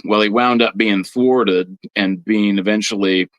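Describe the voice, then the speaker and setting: A man with a slightly low voice, recorded close and dry. He talks like a podcast guest giving an informal history explanation, halting and doubling back on words as he lays things out. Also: steady tone, fairly bright tone, dry recording, dull audio. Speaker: guest priest on a religious podcast.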